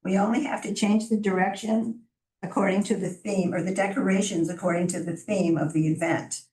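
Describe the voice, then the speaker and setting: A soft-voiced woman presenting to a city council as a chamber of commerce director, friendly and informal, with small restarts. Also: medium pitch, steady tone, a little fast. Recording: echoey council chamber from far off.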